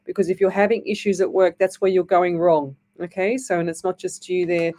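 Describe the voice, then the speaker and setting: Woman, psychic radio host, mellow tone, loose and rambling delivery. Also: on the low side, fairly even intonation, fairly quick. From Australia. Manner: rambling, self-interrupting, many fillers. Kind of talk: live psychic reading, casual chat.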